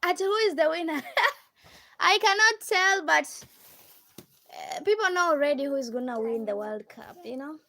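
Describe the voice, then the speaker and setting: A woman's voice: high voice, moderate pace, pushed and bright. A woman from Kenya with a Kenyan accent, hosting a casual live chat, reading out viewer comments and repeating phrases for emphasis. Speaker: livestream host.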